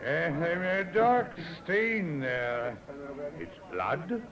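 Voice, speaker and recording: Flustered, high-voiced British colonel. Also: male voice, big pitch swings, a crowd in the background, full character voice. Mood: subdued dismay. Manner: stammering, blustering, haltingly repeats words. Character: flustered upper-class English colonel, indignant car-theft victim. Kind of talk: 1950s radio drama acting. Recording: muffled, hissy 1950s radio recording.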